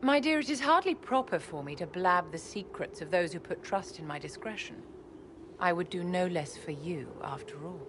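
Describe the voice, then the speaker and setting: An actress voicing a haughty, secretive court enchantress in a video game, poised and condescending. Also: female voice, on the low side, animated, moderate pace, noisy background, lightly acted. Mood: imperious. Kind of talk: video game cutscene dialogue.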